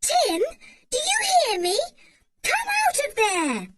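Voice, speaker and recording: A woman's voice: wildly swooping pitch, slow pace, slight background noise, heavily acted. Woman voicing a bold tomboy girl, George. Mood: scolding. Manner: high and emphatic, close to shouting. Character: young girl George, bold tomboy, upset over her dog.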